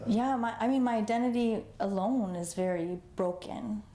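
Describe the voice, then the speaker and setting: A woman's voice: on the high side, moderate pace, dark tone, reverberant. A woman artist in an interview, talking casually with many fillers and easy laughs. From United States, Los Angeles. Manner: chatty, lots of fillers, laughs easily. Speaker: artist guest. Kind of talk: conversational interview.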